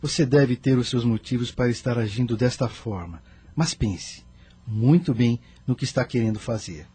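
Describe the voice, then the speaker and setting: An actor playing an earnest, moralizing doctor with a husky, rough voice, persuading deliberately in a radio drama. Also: male voice, medium pitch, dark tone. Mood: earnest, admonishing.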